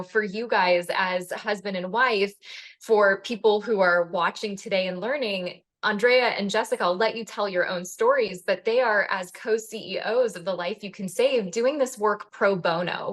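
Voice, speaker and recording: A woman's voice: mid-range voice, a bit bright. A woman hosting a community conversation, talking with enthusiasm in long, flowing sentences. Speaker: host.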